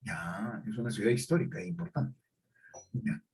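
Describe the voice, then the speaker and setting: A man's voice: fairly low pitch, very animated, moderate pace, darkish tone, slightly rough. A middle-aged male teacher, lecturing a class and often checking in with questions.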